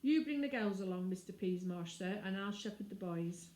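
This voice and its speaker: A woman's voice: mid-range voice, expressive, moderate pace, warm tone, roomy sound, close to an everyday voice. A woman voicing an officious male policeman with a brusque, commanding manner.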